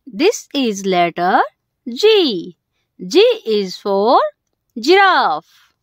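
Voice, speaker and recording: A woman's voice: on the high side, sing-song, extremely slow, no room sound, studio quality. A woman hosting a children's educational video, speaking in simple short phrases and stressing the key words. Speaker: host of a children's alphabet video.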